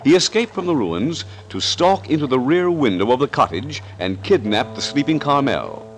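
A man narrating a suspense serial as announcer, with a dramatic, deliberate 1940s radio delivery. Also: medium pitch, big pitch swings, slight background noise.

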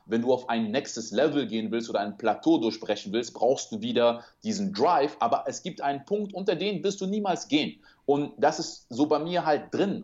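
A man whose first language is French talks as a coach in an interview, earnest and motivational, spinning out long metaphors. His pitch sits mid-range for a man. The recording is a little muffled. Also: darkish tone. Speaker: interview guest, speaker and coach. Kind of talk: casual interview talk.

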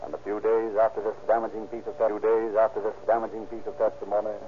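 A man's voice: lowish voice, monotone, fast. A man narrating and announcing in a formal, declarative 1930s radio style. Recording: tinny, noisy 1930s radio recording.

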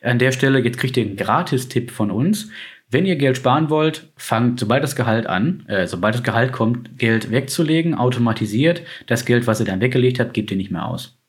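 A man's voice: mid-range voice, animated, fairly quick. A man talking casually and with enthusiasm about his work as a finance advisor, with plenty of fillers. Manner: chatty, enthusiastic, uses lots of fillers. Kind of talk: casual conversation.

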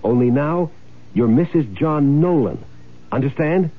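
An actor playing a scheming, greedy, domineering husband in an old-time radio drama, speaking impatiently. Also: male voice, fairly high pitch, animated, measured pace. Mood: commanding, scheming. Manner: domineering, impatient, barking orders. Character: scheming, bossy, greedy husband running an insurance swindle. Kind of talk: old-time radio drama acting, first-person noir narration. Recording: muffled old radio broadcast, music behind.

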